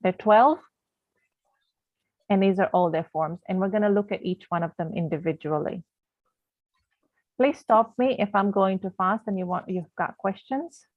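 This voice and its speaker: A woman's voice: medium pitch, normal speed, pauses often, dry recording. A woman who grew up in Canada, learned English as a second language, and teaches grammar in a patient, explanatory way with many fillers and restarts, speaking on a video call. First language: not English. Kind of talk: online grammar lesson. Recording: tinny phone-like call audio.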